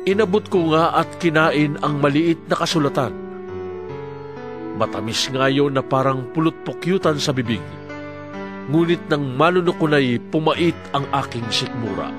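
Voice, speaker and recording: A man's voice: a little high, unhurried, over music, lightly acted. A man playing an earnest prophet recounting his vision in a declamatory scripture narration for an audio drama. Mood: awed, solemn.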